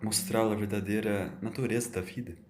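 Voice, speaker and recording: A man's voice: fairly low pitch, steady tone, fairly quick, lightly acted. A man as a weary old time traveller. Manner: quiet, subdued and heavy. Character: weary ancient male time traveller, grieving. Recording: roomy echo, faint hiss.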